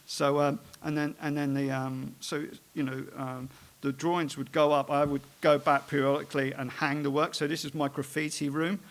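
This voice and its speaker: A man's voice: a little high, flat delivery, moderate pace. Rambling man with many fillers. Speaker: artist giving a talk on his work. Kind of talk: informal talk about his art.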